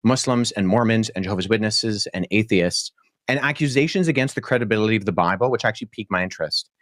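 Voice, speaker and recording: A young man with a lowish voice explains his reasoning earnestly and articulately. He speaks at a measured pace and uses frequent ums and uhs. The sound is dry and close, with no room echo. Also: a bit bright. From born in Pakistan, childhood in Jordan. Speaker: debate guest, historian. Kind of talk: podcast debate answer.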